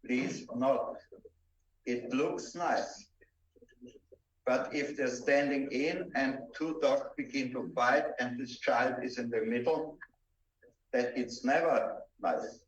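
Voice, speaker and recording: A man with an Austrian accent, a native German speaker, presenting a seminar in halting, simple English with deliberate emphasis. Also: mid-range voice, a little hiss or hum. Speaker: presenter instructing dog-trial judges. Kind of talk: seminar lecture. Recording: dull, echoey room, distant microphone.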